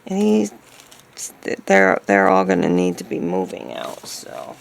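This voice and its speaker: Hoarse, very creaky woman crafting. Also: very deep voice, wildly swooping pitch, a little slow. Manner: hesitant, musing to self. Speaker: craft video maker. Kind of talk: casual crafting chat, thinking aloud.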